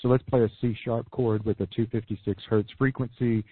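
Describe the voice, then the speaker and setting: A hoarse man talks fast and eager, pitching ideas like a salesman and often restarting mid-sentence. His voice is husky and a little breathy. The sound is narrow and phone-like, dry with no room at all. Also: steady tone, no room sound. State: losing his voice. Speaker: business coach guest. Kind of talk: podcast interview.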